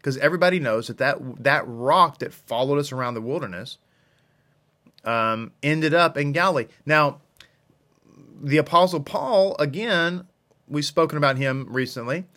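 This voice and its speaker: A man's voice: a little high, normal speed, bright, forceful tone. A man giving an informal scripture lesson as a religious teacher, repeating phrases for emphasis. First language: English.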